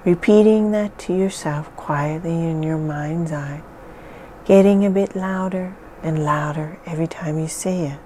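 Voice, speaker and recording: A woman leading a guided hypnosis session in a slow, soothing, measured way with long pauses. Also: sits low, warm tone, slight background noise.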